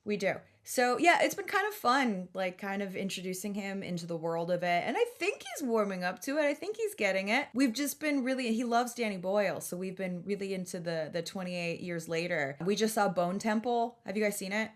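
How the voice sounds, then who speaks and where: A woman's voice: mid-range voice, expressive, brisk, hardly any pauses. Slangy, sweary woman in casual podcast banter. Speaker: podcast co-host and stand-up comic.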